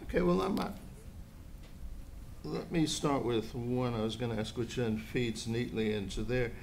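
An older man from Australia chairs the panel in slow, halting speech. He talks at a table microphone in a conference room with faint ambience. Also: medium pitch, gravelly, a little mellow, slight background noise. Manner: hesitant, halting between words. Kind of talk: moderating a panel.

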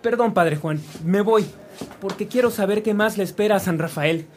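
A man's voice: sits high, normal speed, slight background noise, natural voice. A man playing an earnest, reflective young man of faith in a radio drama. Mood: eager, earnest. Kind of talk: Spanish-language radio drama acting.

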